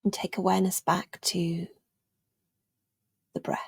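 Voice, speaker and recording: Hushed, creaky-voiced woman guiding meditation. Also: lowish voice, completely flat delivery, no echo, studio quality. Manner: gentle, soothing, unhurried with long pauses, trailing into near-whisper. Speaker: meditation guide, yoga teacher. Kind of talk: guided meditation narration.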